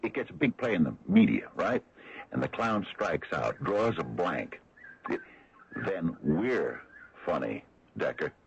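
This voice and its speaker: Gravelly, very deep-voiced sheriff. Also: male voice, very animated, a little hiss or hum, full character voice. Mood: indignant. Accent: American. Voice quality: gravelly, husky. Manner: folksy, halting, grumbling. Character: gruff, stubborn small-town American sheriff, skeptical and beleaguered. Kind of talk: old-time radio drama acting. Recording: dull vintage radio broadcast recording.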